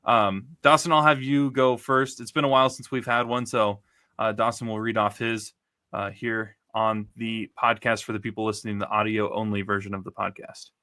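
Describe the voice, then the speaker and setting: A man's voice: lowish voice, flat delivery, moderate pace, sharp tone, no room sound, clean studio sound. A man in his twenties co-hosting a sports podcast, chatty and steering the talk. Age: 20s. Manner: chatty, leads the segments. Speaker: co-host. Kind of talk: casual sports chat.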